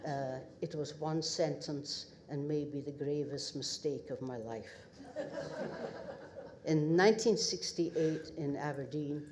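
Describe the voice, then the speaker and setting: An older woman with a northeast Scottish accent gives a halting acceptance speech full of pauses and wry humour at an awards ceremony. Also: extremely low pitch, darkish tone, a little hiss or hum. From northeast Scotland. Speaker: award recipient. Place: ceremony hall at a podium.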